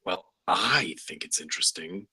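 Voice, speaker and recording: A man's voice: sits low, animated, normal speed, bright, forceful tone, husky, no room sound, exaggerated voice. A man reading the part of an easygoing, reassuring colleague in a script table read, with a wry, casual manner.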